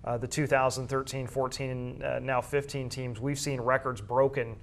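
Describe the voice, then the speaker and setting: A man with a medium-pitched voice answers interview questions in detail. He explains things in a measured, conversational way, as a school sports information staffer and game broadcaster would. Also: flat delivery, creaky, slight background noise.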